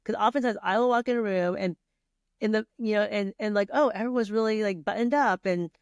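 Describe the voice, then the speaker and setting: A woman's voice: medium pitch, a little fast, darkish tone, smooth, no room sound, studio quality. A middle-aged woman from Vietnam, guest on a podcast, talking casually with many fillers, restarts and laughs. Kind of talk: casual conversational interview.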